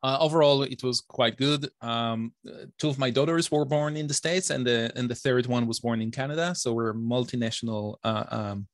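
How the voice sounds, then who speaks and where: A man's voice: medium pitch, moderate pace, few pauses, dry recording. Israeli guy in his 40s being interviewed, lots of uh and um, restarts his sentences, dry sound. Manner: hesitant, many fillers and restarts. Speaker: author guest. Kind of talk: interview conversation.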